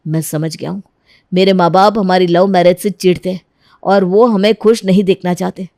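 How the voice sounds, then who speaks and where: A woman's voice: fairly low pitch, fairly even intonation, moderate pace, a little mellow, no room sound, studio quality, lightly acted. A woman as a stern, reproachful young husband. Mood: consoling, resentful. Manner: reproachful, firm. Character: stern, disillusioned young husband and protective son. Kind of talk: Hindi animated moral-story dramatization.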